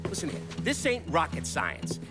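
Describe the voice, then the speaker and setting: An actor playing a blunt, beleaguered, foul-mouthed middle-aged mob boss in a video game cutscene. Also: male voice, on the high side, wildly swooping pitch, moderate pace, music in the background. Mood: furious, exasperated. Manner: blunt, profane, bossy.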